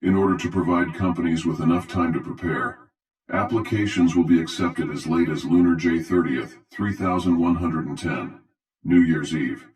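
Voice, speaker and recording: A man's voice: low-pitched, darkish tone, soft background music, natural voice. A man playing a cold, pompous masked herald in an audio drama, making formal, grandiose announcements in a metallic voice over a television. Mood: coldly grandiose. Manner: formal, grandiose, measured proclamation. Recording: distant-sounding metallic television voice.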